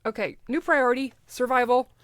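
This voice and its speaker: A woman's voice: sits high, fairly even intonation, brisk, a bit bright, dry recording. A woman playing an exasperated, sarcastic seventeen-year-old camp counselor with dry comic timing in an audio sketch. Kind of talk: comedic audio sketch acting.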